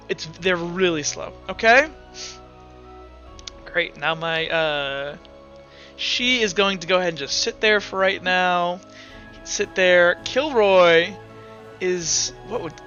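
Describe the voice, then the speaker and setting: A man acting as game master in a tabletop roleplaying game, narrating and refereeing in a casual, halting way with self-corrections. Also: sits high, pushed and bright, lo-fi sound.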